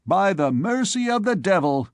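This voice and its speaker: Man playing a jeering, high-pitched young student imp. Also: lively intonation, a little slow, no room sound, studio quality. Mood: gleeful bragging. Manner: theatrical, jeering. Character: malicious merry little imp-like young male student, mocking.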